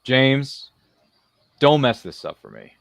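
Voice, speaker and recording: A man's voice: medium pitch, very animated, slow pace, gravelly, dry recording, clean studio sound. A man hosting a casual live interview show, reading viewer comments and throwing in slangy, theatrical, joking asides. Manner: jokey, slangy, theatrical asides to people not present.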